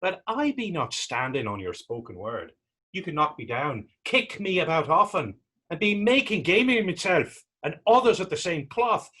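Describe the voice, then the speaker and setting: A man's voice: on the high side, very animated, moderate pace, sharp tone, slightly rough, heavily acted. A man in a readers theater drama playing a defiant young Irish street vagrant, taunting and sarcastic, with an Irish accent. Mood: earnest, defiant. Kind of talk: readers theater stage acting.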